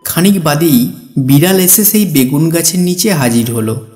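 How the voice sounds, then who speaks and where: Casting: male storyteller for a children's cartoon, fairly high voice, scripted narration over faint background music. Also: moderate pace, darkish tone.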